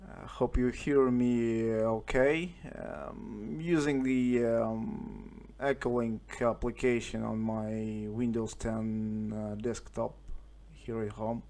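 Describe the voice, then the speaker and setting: A man with a Russian accent chatting casually as an amateur radio operator, hesitant and halting as he searches for words. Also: mid-range voice, flat delivery, gravelly, darkish tone. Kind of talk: casual radio contact chat. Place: at home, indoors.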